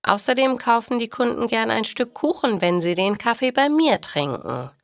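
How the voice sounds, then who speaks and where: A woman voicing a cheerful, practical female bakery owner in a storybook, with animated, emphatic delivery. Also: fairly high pitch, normal speed, gravelly, no echo, voice pushed up. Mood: satisfied.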